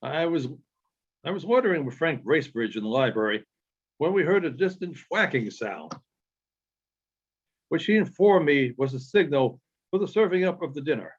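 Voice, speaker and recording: A man reading literary narration aloud in a halting way, with frequent pauses and small stumbles. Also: a little high, animated, breathy edge. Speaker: audiobook reader. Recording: dull, lo-fi sound.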